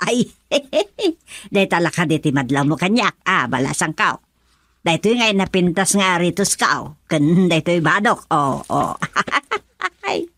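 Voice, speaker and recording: An animated actress as a jolly older mother in an Ilocano radio drama. Her voice swings widely as she fusses and teases with plenty of exclamations, in a dry recording. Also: on the low side, takes it slowly, no room sound, exaggerated voice. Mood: cheerful, teasing. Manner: exclamatory, chuckly. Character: jolly, teasing older mother, chatty and fussy.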